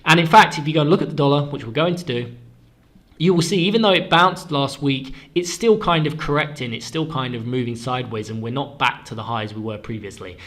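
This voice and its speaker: A man of middle pitch talks through a weekly currency forecast with a stuffed-up voice from a cold. He explains briskly and stresses the key words. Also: fairly bright tone. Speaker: forex analyst and show host. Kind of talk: weekly market forecast talk.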